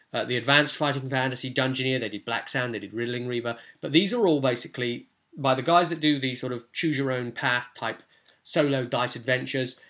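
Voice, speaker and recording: A man giving an informal, chatty walkthrough as a tabletop game master, with animated asides. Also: mid-range voice.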